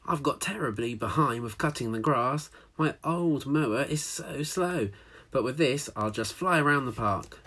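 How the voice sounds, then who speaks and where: A man's voice: medium pitch, animated, moderate pace, fairly bright tone, a little hiss or hum, pitched above the performer's own voice, lightly acted. A man reading aloud who voices a cheerful, inventive male park keeper with hearty, eager exclamations. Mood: proud.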